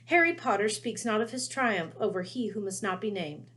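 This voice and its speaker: A woman voicing a small, servile, fearful house elf with a squeaky, pleading voice in a storybook reading. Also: expressive, normal speed, fairly bright tone, lightly acted. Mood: reverent. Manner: pleading, refers to self in third person.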